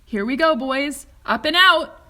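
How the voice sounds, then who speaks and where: A woman's voice: sits high, lively intonation, a little slow, pushed and bright, pitched above the performer's own voice, full character voice. A woman voicing an exuberant, eccentric, cheerful male factory owner in a story, emphatic and full of exclamations. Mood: gleeful. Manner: exclamatory, emphatic, repeats phrases.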